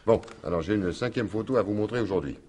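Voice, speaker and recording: An actor playing a wry, probing police commissioner in a French radio crime drama, theatrical and insistent, repeating words for effect. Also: male voice, lowish voice, quick pace, darkish tone, slight background noise, natural voice. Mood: soothing, probing.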